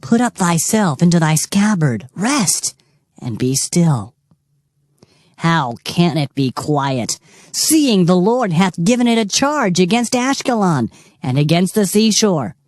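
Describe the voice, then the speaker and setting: A woman's voice: on the low side, very animated, takes it slowly, darkish tone, no room sound, studio quality. A woman reading King James scripture as a dramatized performance, solemn and declamatory. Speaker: scripture reader. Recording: music bed under the voice. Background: music.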